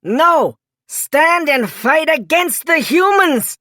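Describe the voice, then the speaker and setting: Imperious storybook frog monarch, woman performing, slow and emphatic, stern, commanding. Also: high voice, lively intonation, bright, forceful tone, no room sound, clean studio sound, voice pushed up, full character voice. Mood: angry, commanding. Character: stern, commanding frog king, distrustful of humans. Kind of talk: children's storybook character voice.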